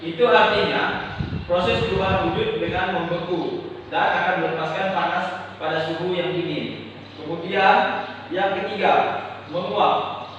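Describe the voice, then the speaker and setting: A young man from Indonesia teaching a class, explaining slowly and pausing often on "nah". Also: high-pitched, fairly even intonation, a bit bright, a little hiss or hum. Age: young adult. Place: classroom indoors. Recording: echoey roomy classroom, distant mic, dull.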